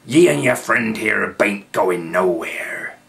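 A man's voice: fairly low pitch, animated, vocal fry, a bit bright, exaggerated voice. A man voicing a dirty, nasty old pirate henchman with a stage pirate accent, drawing words out menacingly. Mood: gloating. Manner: menacing, drawn-out delivery.

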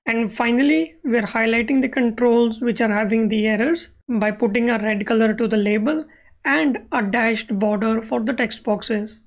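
High-voiced woman giving a coding tutorial. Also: steady tone, moderate pace. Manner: instructional, explanatory. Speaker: web accessibility instructor. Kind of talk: step-by-step screencast coding tutorial.

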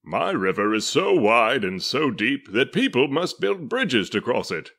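A man's voice: medium pitch, sing-song, a little slow, creaky, a bit bright, husky, voice pushed up, exaggerated voice. A man voicing a proud, fretful little red toy tugboat with indignant, declamatory exclamations in a children's storybook.

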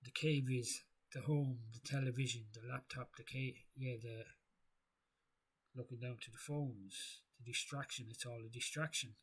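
A man with a husky voice giving a rambling philosophical monologue, halting and repeating himself as he thinks aloud. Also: medium pitch, steady tone. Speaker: video creator.

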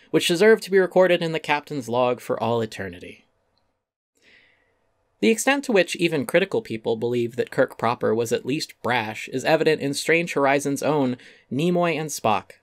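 A man narrates an essay in a dramatic reading, with a medium-pitched voice. He is articulate and emphatic, with a wry edge. The sound is dry and close to the mic. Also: no echo.

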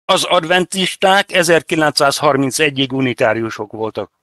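Higher-voiced older man explaining. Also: animated, a bit bright, no echo, studio quality. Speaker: interview guest, church representative. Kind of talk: explanatory conversation.

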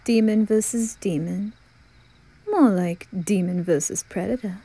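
A woman voicing a composed, cold male demon butler who speaks low and measured. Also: warm tone.

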